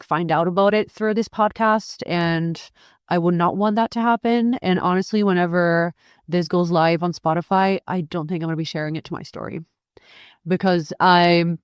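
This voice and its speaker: A young woman whose first language is French, speaking as a podcast guest in a candid, hesitant way with many pauses and fillers. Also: fairly low pitch, clear, resonant tone, no room sound, studio quality.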